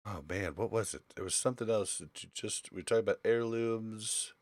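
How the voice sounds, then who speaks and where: A man hosting a casual gaming podcast, talking informally and thinking aloud in halting phrases. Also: lowish voice, no echo, studio quality. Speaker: podcast host.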